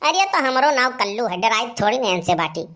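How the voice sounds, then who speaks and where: A woman's voice: fairly high pitch, sing-song, brisk, fairly bright tone. A woman voicing a cheeky, carefree young village man with an Awadhi accent, protesting in a comedy cartoon. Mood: defiant.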